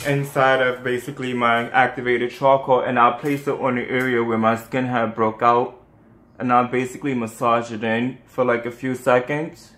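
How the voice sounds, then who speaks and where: A man's voice: medium pitch, a bit bright. A young man with a New York accent talks through a home skincare routine in a loose, rambling way, full of "um" and "like" as he restarts his sentences. He speaks close to the camera in a small room with bare walls, which gives his voice a noticeable echo. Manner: rambling, chatty, many fillers and restarts. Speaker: skincare vlogger. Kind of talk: casual how-to vlog.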